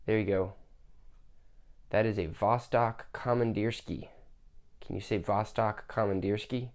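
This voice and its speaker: A man's voice: on the low side, steady tone, measured pace, a little mellow. A man reviewing watches casually, thinking aloud with restarts, his voice a bit off from a cold.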